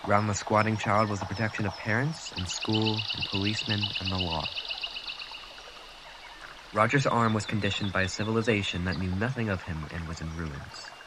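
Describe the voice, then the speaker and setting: A man reading a graphic novel aloud in a hushed, measured way. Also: lowish voice, steady tone, background noise. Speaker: reader.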